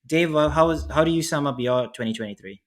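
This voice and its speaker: A man's voice: a little high, quick pace. Man, young adult, podcast co-host, earnest, reflective, rephrases a lot. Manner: earnest, self-reflective, repeats himself. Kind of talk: casual podcast chat.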